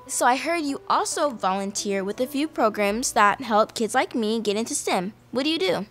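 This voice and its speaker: A young teenage girl hosts in an upbeat, enthusiastic way, asking curious questions. Her voice is fairly high and she is recorded in a plain studio. A clear music bed runs beneath her. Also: expressive, moderate pace, a bit bright. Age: young teen. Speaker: student interviewer, show host. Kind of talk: upbeat scripted hosting and questions.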